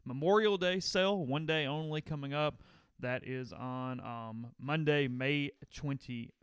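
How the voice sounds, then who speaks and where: A man's voice: medium pitch, a little slow, clean tone, no echo. A man hosting a podcast from a small studio, opening the show and reading ads in an easygoing way while his voice is a bit worn. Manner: easygoing, scheduling asides. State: losing his voice. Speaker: podcast host. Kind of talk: show opening and casual chat.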